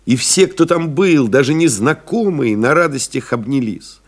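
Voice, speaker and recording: An actor playing a pilot who recalls a lost comrade, reading solemnly, expressively and in measured literary phrases. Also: male voice, mid-range voice, expressive, lightly acted. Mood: joyful, moved. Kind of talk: dramatic literary reading in an audio play. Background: music behind the voice.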